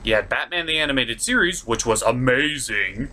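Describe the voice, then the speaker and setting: Animated, sarcastic male cartoon reviewer. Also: medium pitch, normal speed, slight background noise. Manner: sarcastic, theatrical. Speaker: host of a puppet cartoon review show. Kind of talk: scripted comic review narration.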